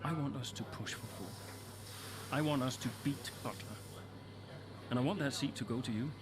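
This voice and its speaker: An actor playing an older, weary but earnest racing driver in a video game cutscene, speaking in a low-key, sincere way. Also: male voice, moderate pace, background noise, close to an everyday voice. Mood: earnest, conciliatory.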